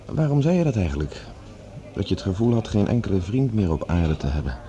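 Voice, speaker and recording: An actor playing a friendly, thoughtful scientist on a Mars project in a Dutch science fiction radio drama. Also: male voice, lowish voice, big pitch swings, a little fast, mellow, dull audio. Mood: pensive.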